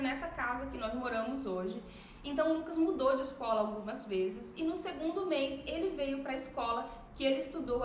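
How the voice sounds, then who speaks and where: A woman's voice: high-pitched, fairly bright tone, roomy sound, slight background noise, rough recording. A Brazilian woman in her thirties, a mother telling her family's story in a casual vlog, reflective, with frequent tag questions. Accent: Brazilian Portuguese. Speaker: vlogger, mother.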